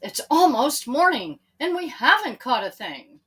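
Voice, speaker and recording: A woman's voice: sits high, very animated, unhurried, bright, forceful tone, breathy edge, pitched above the performer's own voice, exaggerated voice. A woman voicing an eager, commanding fisherman in a children's Bible story, with an emphatic, urgent delivery.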